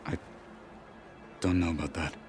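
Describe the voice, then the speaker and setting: Very deep, grim warrior marshal. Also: male voice, little pitch movement, a little mellow, faint music behind. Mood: grave, informative. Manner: terse, stern, weighty deliberate delivery. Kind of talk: dramatic video game cutscene acting.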